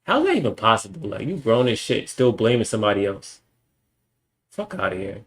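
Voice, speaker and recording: A man's voice: lowish voice, very animated, a little fast. A young man streaming alone, ranting bluntly and with lots of profanity in a casual monologue. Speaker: livestreamer.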